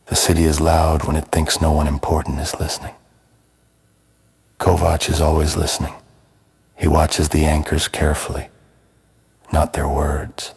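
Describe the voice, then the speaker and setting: Very deep, husky man narrating ominous noir. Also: darkish tone. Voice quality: very husky, gravelly rasp. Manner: ominous, terse, measured. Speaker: narrator of a neo-noir audio drama. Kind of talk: scripted noir narration in short present-tense sentences.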